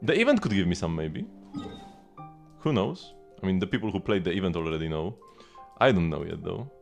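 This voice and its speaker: Low-voiced man chatting through game commentary. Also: very animated, a little fast, creaky. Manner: rambling, thinking aloud. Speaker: game streamer. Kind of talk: casual live gameplay commentary. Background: game music.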